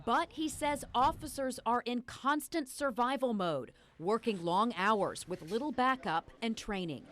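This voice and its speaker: A woman's voice: a little high, lively intonation, a little slow, fairly bright tone. A woman reporting the news, reading a scripted broadcast narration.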